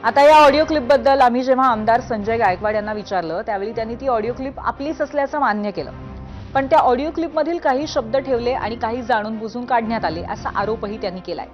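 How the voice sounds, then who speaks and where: High-voiced woman anchoring news. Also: quick pace. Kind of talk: scripted TV news presentation. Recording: dull audio over music bed. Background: news music.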